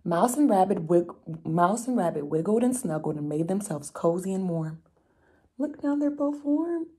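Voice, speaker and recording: A woman's voice: mid-range voice, animated, normal speed, warm tone, slightly rough. A young adult woman reading a picture book aloud to children, expressively, with chatty explanatory asides. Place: room at home, indoors.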